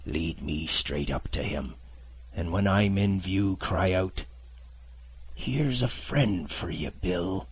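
A man voicing a cruel blind male pirate beggar, shifting from a high whining plea to hissed, whispered threats. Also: takes it slowly, exaggerated voice, poor audio. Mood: menacing whisper. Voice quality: very husky, breathy. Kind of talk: audiobook character voice.

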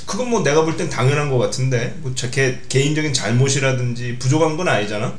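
Male host, mid-pitched voice, roomy echo, casual conversational interviewing. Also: lively intonation, quick pace, a crowd in the background. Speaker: podcast host.

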